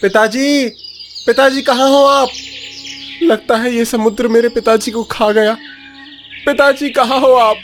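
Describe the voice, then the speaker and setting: A man's voice: very high voice, fairly even intonation, a little slow, long pauses, slight background noise. A man voicing a young fisherman's son in a cartoon story, emotional and pleading. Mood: calling out.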